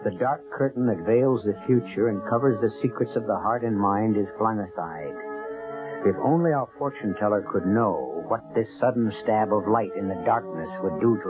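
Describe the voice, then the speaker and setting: An actor as a grave male mystery host with a lowish voice. He narrates theatrically and portentously, in muffled old radio sound with music behind. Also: natural voice.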